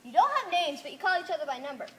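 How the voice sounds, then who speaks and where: A woman's voice: high-pitched, sing-song, racing, sharp tone, heavily acted. A woman playing a stubborn, candid Earth girl among aliens in an amateur stage play, plain-spoken and emphatic. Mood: insistent. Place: theater stage.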